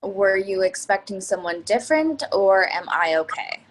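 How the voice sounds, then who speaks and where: A woman's voice: medium pitch, animated, moderate pace, slight background noise, close to an everyday voice, poor audio. A woman playing a direct, businesslike young professional in a play reading, formal and pointed as she questions. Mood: cool, probing.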